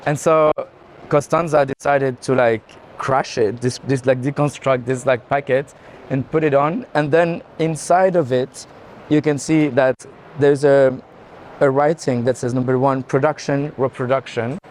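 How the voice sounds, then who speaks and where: A man giving unscripted art tour commentary in a halting, explanatory way. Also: mid-range voice, fairly even intonation, a little mellow. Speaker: art gallery director in conversation. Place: indoor art fair hall. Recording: clear background noise of busy venue.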